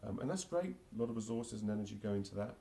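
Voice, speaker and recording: Interview guest, forties, London accent, low gravelly voice, halting delivery. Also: male voice, lively intonation, dark tone. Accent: London English. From England. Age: 40s. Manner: hesitant, many restarts and fillers. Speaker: marketing automation specialist, guest. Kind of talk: casual interview answers.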